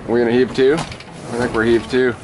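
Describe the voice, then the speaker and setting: Casual sailing vlogger over loud wind. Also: male voice, mid-range voice, a little fast. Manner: relaxed, talks while steering and giving crew instructions. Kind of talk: casual vlog narration. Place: sailboat cockpit and docks outdoors. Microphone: handheld camera at arm's length. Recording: loud wind and boat noise.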